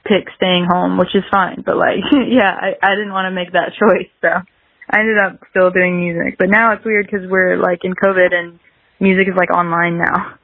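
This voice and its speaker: A woman's voice: lowish voice, level tone, moderate pace, no echo. Young American woman chatting, dry lo-fi sound. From South Carolina, United States. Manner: hedging, very frequent "like" filler. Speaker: podcast guest, musician. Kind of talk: casual interview chat.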